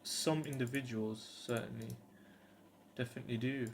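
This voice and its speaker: A man's voice: medium pitch, steady tone, normal speed, vocal fry. A British man in his twenties talking casually and earnestly to camera, hesitant and rambling, with faint background noise. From United Kingdom. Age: 20s. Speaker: vlogger.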